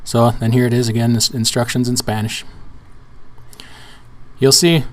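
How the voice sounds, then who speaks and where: Man, hoarse today, casual trucking-channel host; loose, restart-heavy tutorial delivery. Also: medium pitch, little pitch movement, normal speed. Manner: casual, rambling with restarts and fillers. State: hoarse, weak voice. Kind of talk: screen-share tutorial walkthrough.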